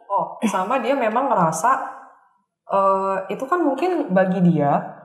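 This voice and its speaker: A woman's voice: medium pitch, sing-song, measured pace. A woman with an Indonesian accent explaining things in casual conversation as a psychic reader on a talk show, informally mixing in English words. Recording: roomy, echoing voice recording.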